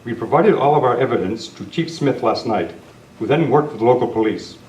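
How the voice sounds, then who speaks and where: Echoey distant room, guy playing upright masked lawman in a radio western, formal, mid-pitched. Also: animated, brisk, a little mellow, lightly acted. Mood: assured. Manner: formal, declarative. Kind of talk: old-time radio play reading by club members. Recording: echoey meeting room from far off, faint noise.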